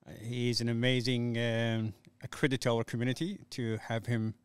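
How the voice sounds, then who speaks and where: A man's voice: mid-range voice, fairly even intonation, moderate pace, smooth. A middle-aged man from Somalia, an English speaker, telling his story casually and hesitantly as an interview guest. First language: not English. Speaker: interview guest, youth community worker.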